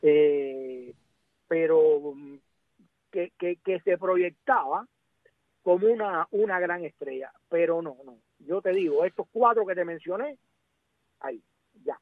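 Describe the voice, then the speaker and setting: A man's voice: fairly high pitch, slow pace, long pauses, dry recording. A man with a Cuban accent discussing sports casually as a guest commentator, emphatic and repeating words, over a narrow radio or phone-like connection. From Cuba.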